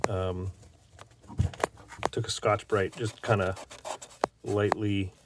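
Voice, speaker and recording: Very deep, slow-talking man. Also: level tone, very noisy. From Canada. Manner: rambling, halting, lots of fillers. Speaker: hobbyist restoring a tape machine. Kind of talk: casual show-and-tell.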